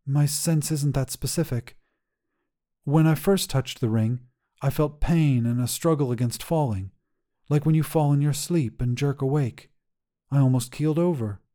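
A man plays an elderly widowed grandmother in an audio short story. The voice is mellow and soft-edged, pitched a little above his narration. She speaks earnestly and wistfully, with gentle resolve. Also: normal speed, close to an everyday voice. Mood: uneasy, resolute. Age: older.

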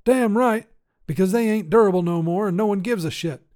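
A man's voice: high voice, brisk, darkish tone, pitched above the performer's own voice, lightly acted. A man voicing a cantankerous old grandfather, a folksy tinkerer who grumbles emphatically in a story reading. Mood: indignant. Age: older. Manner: folksy, grumbling, emphatic, rural turns of phrase. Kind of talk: acted character dialogue in short fiction reading.

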